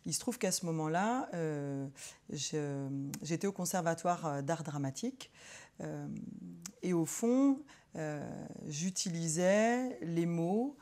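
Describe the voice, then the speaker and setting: Soft-toned woman speaking with thoughtful hesitation. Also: low voice, animated. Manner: hesitant, many pauses and fillers, thoughtful. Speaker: psychologist. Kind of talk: reflective personal interview.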